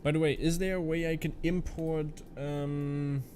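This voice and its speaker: A man's voice: on the high side, steady tone, a little slow, darkish tone, a little hiss or hum. A young German man with a Canadian-German accent, chatting casually on a flight sim stream and answering his viewers. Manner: chatty, reads chat aloud and answers viewers. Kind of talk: casual live-stream commentary.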